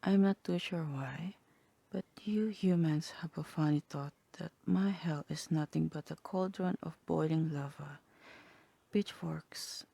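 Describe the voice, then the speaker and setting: A woman voicing a sly, teasing demon in a half-whispered ASMR roleplay, languid and wry, with a husky voice. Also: sits low, unhurried, mellow, dry recording, full character voice. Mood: teasing, coaxing. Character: sly, teasing demon tempting a human toward hell.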